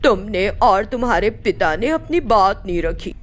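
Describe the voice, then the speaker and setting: A woman's voice: medium pitch, animated, normal speed, lightly acted. Woman as a scolding, greedy older mother-in-law. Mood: accusing. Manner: scolding, accusing.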